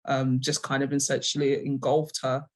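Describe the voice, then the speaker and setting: A woman's voice: a bass voice, little pitch movement, normal speed. A woman from the UK, a writer, giving casual interview answers and thinking aloud hesitantly as she goes.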